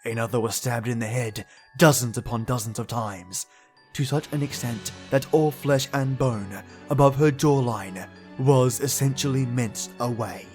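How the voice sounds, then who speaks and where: A man playing a grave investigator in a game dub, narrating a grisly case in a measured, formal, brooding way with a husky voice. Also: mid-range voice, a little mellow, soft background music, natural voice.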